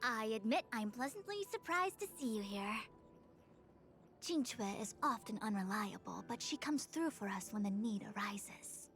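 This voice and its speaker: Stern tired seer lady, formal, slightly high voice, game music behind. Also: animated, a bit bright. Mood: composed. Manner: measured, formal, dryly exasperated. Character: calm stern female seer, formal and weary. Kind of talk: game cutscene voice acting.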